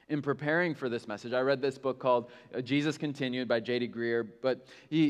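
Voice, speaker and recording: A man's voice: medium pitch, in a large hall. A male pastor preaching a sermon in a candid, conversational way, with self-mocking asides.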